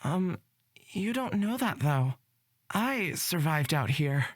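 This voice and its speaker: A woman playing a gentle, cautious young forest dweller who speaks hesitantly in a fantasy visual novel. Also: sits low, big pitch swings, dry recording, clean studio sound, heavily acted. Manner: hesitant, unhurried.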